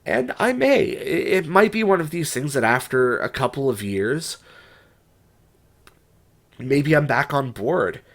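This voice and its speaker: A man's voice: medium pitch, lively intonation, measured pace, long pauses, fairly bright tone. A young man with a stuffed-up, congested voice, talking through an unscripted vlog in a rambling, hesitant way full of restarts and fillers. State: stuffed up, recovering from a cold. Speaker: music writer and YouTuber.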